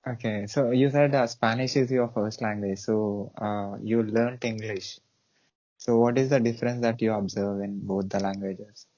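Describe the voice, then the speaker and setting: A man hosting a casual online interview, speaking haltingly with frequent restarts and "uh"s. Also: lowish voice, steady tone, dark tone, muffled recording. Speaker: interview show host.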